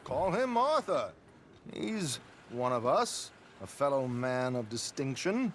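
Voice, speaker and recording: A man playing a flamboyant, slippery gentleman conman in a game, with pompous, florid and affectedly polite speech. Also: mid-range voice, very animated, slow, a little hiss or hum. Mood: smooth persuasion. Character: theatrical, flamboyant gentleman conman, slippery and self-consciously eloquent. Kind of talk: video game cutscene dialogue.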